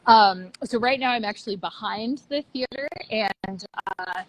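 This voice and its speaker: A woman's voice: on the high side, unhurried, noisy background. Upbeat, chatty woman on a video call. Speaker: puppet theater director, interview guest. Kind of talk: casual chat in an online video interview. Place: at the theater, moving between outside and lobby on a video call. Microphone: phone or laptop on a video call.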